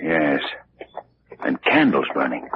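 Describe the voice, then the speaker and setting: An actor playing a shrewd, commanding detective with clipped urgency in a 1940s radio mystery style. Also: male voice, very deep voice, sing-song, takes it slowly, heavily acted. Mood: urgent. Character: composed, commanding amateur detective, shrewd man. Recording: dull old radio recording, faint noise.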